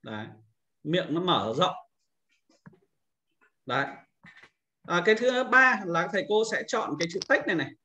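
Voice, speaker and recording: A man's voice: sits high, big pitch swings, moderate pace, long pauses, sharp tone. A man giving an informal screen-recorded tutorial as an instructor, explaining things step by step and often repeating himself.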